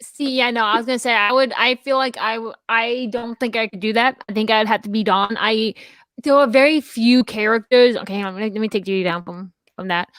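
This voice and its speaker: A young woman with a high-pitched voice co-hosting a casual chat, rambling and chatty. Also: fairly even intonation, a little fast, a bit bright, no room sound. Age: young adult. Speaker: podcast co-host.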